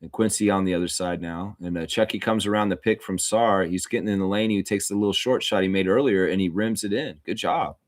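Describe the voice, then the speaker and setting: A man's voice: low voice, a little fast, hardly any pauses, no room sound, clean studio sound. A man giving casual, conversational fan play-by-play of basketball, rambling a little and repeating himself. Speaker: livestream host calling basketball play-by-play. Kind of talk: casual fan sports commentary.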